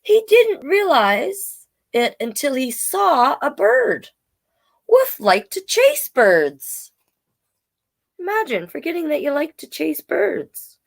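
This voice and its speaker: A woman in her forties giving a casual children's read-aloud, playful and expressive, with chatty asides. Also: high voice, takes it slowly, gravelly. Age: 40s. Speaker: children's storyteller.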